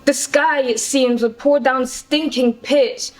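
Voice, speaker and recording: Distressed young daughter, acted by a woman, Shakespearean stage plea, highish voice, unhurried. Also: fairly even intonation. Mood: pleading. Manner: pleading. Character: distressed, compassionate young daughter pleading with her father. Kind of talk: Shakespearean monologue performance. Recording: faint background noise.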